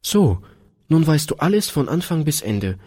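A man doing an eager little girl, high and sing-song. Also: big pitch swings, normal speed, slightly rough. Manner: bright pleading sing-song. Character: eager sweet little girl pleading with father. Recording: long hall reverb.

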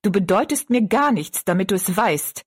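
A woman's voice: mid-range voice, animated, moderate pace, husky. A woman voicing a harsh, contemptuous stepmother in an audiobook story, stern and cutting. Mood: cold, stern. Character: cold, scornful stepmother, harsh mistress of the house.

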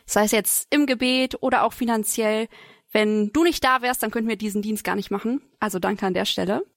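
A woman's voice: mid-range voice, a little fast, gravelly, dry recording. A woman hosting a podcast in a studio, chatting casually as she interviews her guests. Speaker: podcast host.

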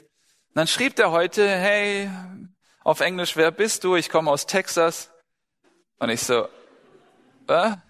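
Brief: male preacher, fairly high voice, chatty sermon, lingering hall echo. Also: a bit bright. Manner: conversational, asks the congregation questions. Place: church hall. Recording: long hall reverb.

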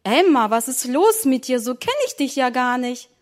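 A woman's voice: high-pitched, lively intonation, normal speed. A woman voicing a mother who calls her children in a motherly tone.

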